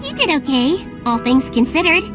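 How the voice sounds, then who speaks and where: A tiny game sidekick, caring and a little teasing. The voice is extremely high and earnest, with music clearly playing behind it. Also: female voice, big pitch swings, normal speed. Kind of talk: scripted game cutscene acting.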